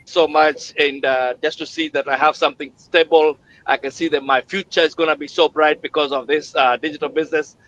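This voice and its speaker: A man hosts a live online session, piling up words in an exuberant, preaching cadence. His voice sits a little high for a man, and the audio is dull and lo-fi, as over a video call. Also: fairly even intonation, fairly quick, hardly any pauses, a bit bright, slight background noise. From Kenya. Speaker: host. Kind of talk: enthusiastic livestream hosting.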